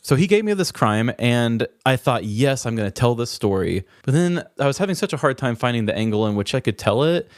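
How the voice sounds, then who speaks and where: A man's voice: medium pitch, sing-song, a little fast, hardly any pauses, no echo, clean studio sound. A man co-hosting a podcast, telling odd crime stories in casual, conversational, rambling comedic chat. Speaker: podcast co-host.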